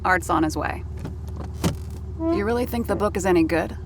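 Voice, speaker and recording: A woman's voice: mid-range voice, big pitch swings, moderate pace, background noise. An actress playing a wry woman who teases a friend in a dry way in an audio drama, with music playing behind her.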